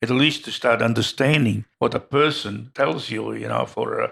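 An older man with an Italian accent, recounting his migration in an oral history interview, halting with many fillers. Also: mid-range voice, vocal fry, fairly bright tone, breathy edge.

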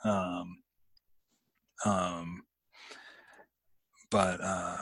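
Guy w/ lowish voice hosting book chat, halting n thoughtful, trails off a lot, dry sound. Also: little pitch movement, a bit bright, no room sound. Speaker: host of a book discussion. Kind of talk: casual literary discussion.

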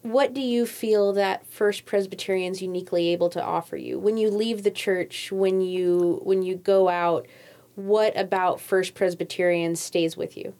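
A woman interviewer asks questions casually, restarting her phrasing as she goes. Her voice sits at a middle pitch. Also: fairly even intonation, a little slow, warm tone.

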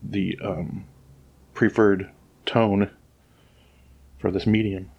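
A man's voice: on the low side, little pitch movement, very slow, creaky, darkish tone, husky, lo-fi sound. A man co-hosting a podcast in casual chat, rambling and opinionated, with many restarts, speaking close to the microphone. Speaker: podcast co-host. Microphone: sits close to the microphone.

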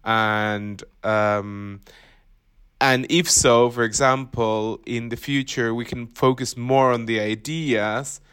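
Man, first language Spanish, who thinks aloud on a podcast panel; halting delivery, middle pitch. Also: fairly even intonation, fairly bright tone, clear, resonant tone. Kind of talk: conversational discussion.

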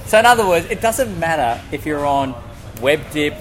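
A man's voice: fairly high pitch, expressive, normal speed, fairly bright tone. A man co-hosting a casual podcast, reading out a guest's written answers and bantering loosely with the occasional swear word. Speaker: podcast co-host. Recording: music playing underneath.

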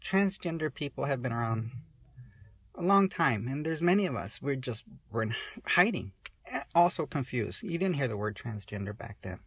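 A woman in her forties speaking candidly in an interview, halting and soft-spoken, often restarting her sentences. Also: a bass voice, expressive, breathy edge, no room sound. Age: 40s.

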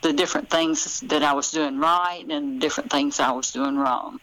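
An older woman with a Southern US accent chats casually as an interview guest, haltingly thinking aloud and correcting herself. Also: low-pitched, steady tone, fairly bright tone, poor audio. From Memphis, Tennessee, US. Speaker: author, podcast guest.

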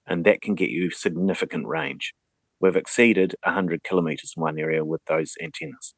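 A man with a New Zealand accent and a distinctly low voice. He explains things conversationally as an interview guest, practical and methodical. The sound is dry. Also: normal speed, creaky, dry recording. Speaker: interview guest, radio communications advocate.

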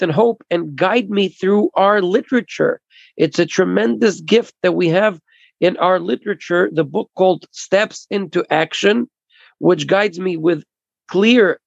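A man with a somewhat high, gravelly voice interprets for a speaker, pausing and restarting his phrases. The sound is dry and dull, like lo-fi video call audio. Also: dry recording. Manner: halting, repeats and restarts phrases. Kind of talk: consecutive interpreting at a recovery meeting.

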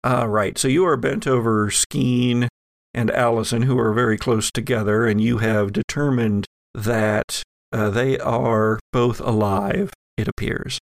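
A man improvising tabletop game narration as game master, halting as he searches for his words. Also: mid-range voice, fairly even intonation, vocal fry, no room sound.

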